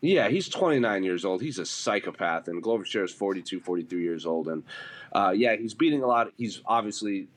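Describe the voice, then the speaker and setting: Male sports panelist, low-pitched, casual conversational analysis. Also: animated, a little fast, a little hiss or hum. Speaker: panelist on an MMA preview show. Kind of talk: casual sports discussion, fight prediction.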